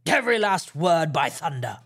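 A cunning old one-legged pirate, put on by a male narrator blusters in a rough seafaring dialect. The voice sits higher and louder than a plain reading voice, bargaining and threatening by turns in a storybook reading. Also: sing-song, moderate pace, full character voice. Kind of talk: audiobook character voice.

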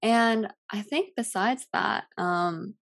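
A woman's voice: mid-range voice, slow pace. A young woman from California, a Cantonese speaker, chatting casually as a podcast guest with lots of fillers. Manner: relaxed, rambling with many fillers. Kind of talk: casual chat.

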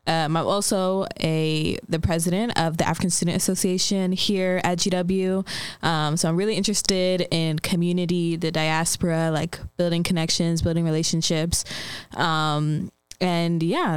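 Smooth low-voiced young woman, friendly. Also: no echo. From Maryland, USA. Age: 20s. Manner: friendly, hesitant, many ums. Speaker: podcast guest, student. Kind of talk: casual podcast chat.

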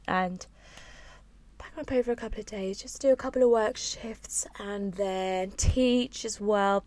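A young woman talks through a casual solo podcast, wandering from one thought to the next with sighs and fillers. Her voice sits in an ordinary middle pitch but sounds low and slightly husky today. The recording is dry and close, with no room sound. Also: a little mellow, no room sound. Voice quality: low and a bit husky after a late night. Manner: rambling, chatty, with sighs and frequent ums. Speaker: podcast host. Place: on a boat, small space.

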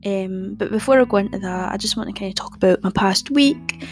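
A young woman hosting a podcast, chatting casually in a soft, calming voice and rambling with lots of fillers. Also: medium pitch, fairly quick, faint music behind. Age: young adult. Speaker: podcast host.

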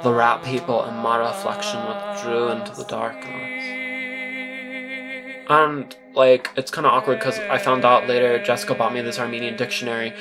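A man's voice: medium pitch, lively intonation, normal speed. A man performing a first-person audio drama monologue as narrator, in an awkward, earnest storytelling manner. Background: music.

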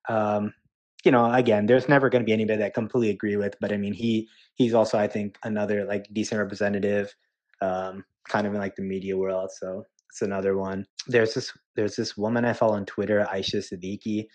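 A man in his twenties answering casually for a podcast, relaxed and hesitant with fillers. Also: fairly low pitch, fast. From United States. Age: 20s. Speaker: podcast guest.

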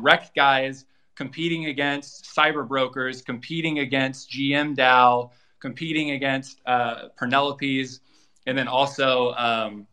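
A man's voice: mid-range voice, pushed and bright, clean tone. A man talking casually and informally as an interview guest, explaining plans and details of a project. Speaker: guest, co-creator of an audio drama. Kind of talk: casual promotional interview talk.

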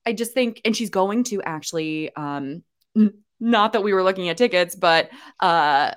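A woman's voice: medium pitch, lively intonation, normal speed. A young woman co-hosting a casual podcast chat, talking loosely with many fillers and restarts. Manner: chatty, lots of fillers and restarts. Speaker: podcast co-host.

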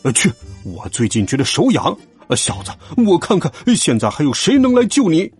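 A hulking young bully boss from a children's audio story, acted out by a man. He blusters and threatens, short-tempered and full of himself, with big swings in pitch. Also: big pitch swings, moderate pace, a little mellow, slightly rough, faint music behind. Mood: angry, menacing. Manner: blustering, threatening, scornful laugh.

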